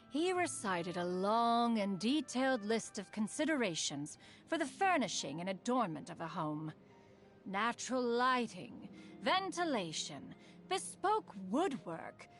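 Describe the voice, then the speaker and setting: A stately immortal crane woman; lively melodic delivery, slightly high, game soundtrack under. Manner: formal, refers to herself as "one". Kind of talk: game cutscene voice acting.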